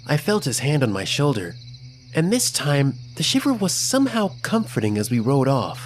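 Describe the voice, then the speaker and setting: A man narrating a scripted first-person children's story in an expressive, dramatic storytelling manner. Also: medium pitch, normal speed, soft background music.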